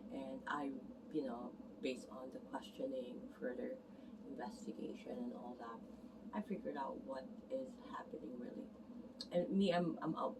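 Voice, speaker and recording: A woman massage therapist talks to camera in a casual, earnest ramble, often restarting her sentences. Her voice sits low. She sounds set back from the mic, and a steady noise runs underneath her. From Canada immigrant, arrived as a teen. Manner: rambling, self-correcting, earnest. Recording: distant mic, noticeable background noise.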